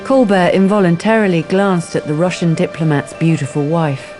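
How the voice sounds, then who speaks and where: A wry female storyteller voiced by an actress for a radio crime drama. Her voice is low for a woman and her delivery is measured and scripted. Music plays clearly beneath her. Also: steady tone, close to an everyday voice.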